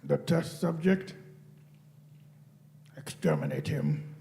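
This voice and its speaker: Man doing Dalek leader, cold, imperious, slow, gravelly, grating, sci-fi radio play. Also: a little high, fairly even intonation, dark tone, full character voice. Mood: cold, ordering. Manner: deliberate, imperious pronouncements. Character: cold, commanding male Dalek leader, alien machine.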